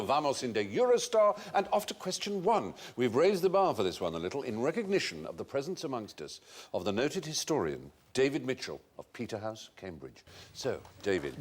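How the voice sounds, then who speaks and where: A man hosting a comic quiz show, playful and theatrical, tossing in mock foreign phrases. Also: mid-range voice. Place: TV studio with a live audience. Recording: loud studio audience laughter and noise.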